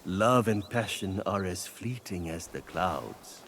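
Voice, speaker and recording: Game casting: a low, slow, poetic sage with soft ambience behind. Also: male voice, slight background noise, heavily acted. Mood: solemn. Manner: calm, poetic recitation. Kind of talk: video game cutscene narration.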